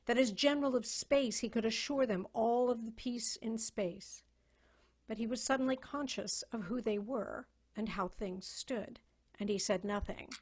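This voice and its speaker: A woman narrating a story in a solemn, measured, theatrical way, as a dramatic reading over a video call. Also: mid-range voice.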